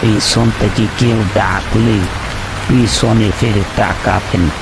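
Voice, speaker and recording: A man playing a grave local in a cartoon, giving a cautionary warning in a gravelly voice. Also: fairly low pitch, steady tone, slow pace. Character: slow, grave male local warning of danger. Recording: loud rain noise over voice.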